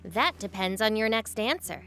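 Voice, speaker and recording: A woman's voice: mid-range voice, animated, normal speed, pushed and bright, faint music behind. A woman playing an earnest, idealistic young woman in a video game, sincere and brave. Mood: eager. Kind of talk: video game cutscene acting.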